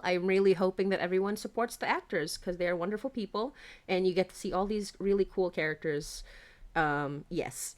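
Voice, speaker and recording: A woman hosting a casual livestream, chatting in a bubbly, giggly, rambling way. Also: medium pitch. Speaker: livestream host.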